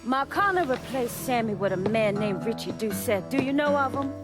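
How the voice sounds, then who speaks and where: Shrewd female crime boss played by an actress, highish voice, deliberate and bitter, game cutscene with prominent music. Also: lively intonation, natural voice. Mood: bitter anger. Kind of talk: video game cutscene drama acting. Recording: music behind the voice.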